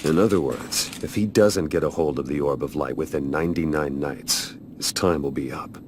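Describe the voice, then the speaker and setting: A man playing a calm, serious, brooding warrior who speaks in measured lines in a video game cutscene. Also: very deep voice, background noise. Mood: grave.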